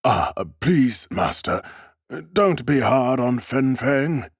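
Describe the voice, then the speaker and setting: A man's voice: medium pitch, expressive, takes it slowly, breathy edge, no echo, clean studio sound, pitched above the performer's own voice. A man voicing a timid, humble bookseller in an audiobook, speaking with hesitant, very polite deference. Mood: apologetic, careful. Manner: hesitant, bowing politeness, stammering. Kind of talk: audiobook character acting.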